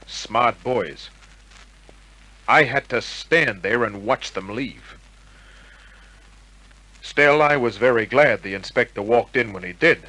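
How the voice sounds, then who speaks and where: An actor playing a wisecracking, cool private detective in old-time radio crime drama, with a wry, clipped delivery. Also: male voice, mid-range voice, sharp tone, heavily acted, rough recording. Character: wisecracking hard-boiled male private detective, cool under pressure.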